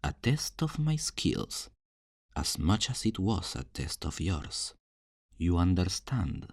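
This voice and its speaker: Man playing a deep-voiced, unhurried, flirtatious rogue thief. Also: sing-song, darkish tone, dry recording, studio quality, full character voice. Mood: teasing, amused. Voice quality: low voice. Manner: teasing, theatrical, smugly self-assured, uses endearments. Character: cocky, flirtatious male thief in a fantasy town. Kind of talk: fantasy ASMR roleplay, addressing the listener.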